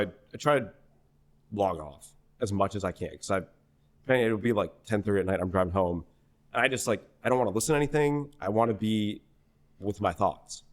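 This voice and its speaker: A young man from New Hampshire, a singer-songwriter guest in a podcast interview, telling a story in a rambling, animated way full of restarts. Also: fairly low pitch. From New Hampshire, USA. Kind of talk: casual conversational interview.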